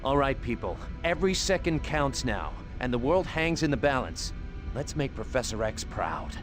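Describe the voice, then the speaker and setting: An actor playing a brisk, authoritative superhero team leader in a video game. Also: male voice, medium pitch, big pitch swings, lightly acted. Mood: determined. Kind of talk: video game cutscene acting. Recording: music clearly behind the voice.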